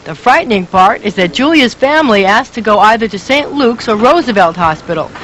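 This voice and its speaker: A woman's voice: a little high, animated, fairly quick, fairly bright tone, a little hiss or hum, rough recording. A woman reporter reading a scripted investigative news story with emphatic, dramatic delivery.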